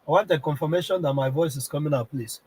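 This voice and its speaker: Nigerian male host, sick with a cold, husky, slightly high-pitched, insistent live-stream talker. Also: fast, a little mellow. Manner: keeps clearing his throat, repetitive and insistent. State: has a heavy cold, throat strained. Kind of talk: political live-stream address.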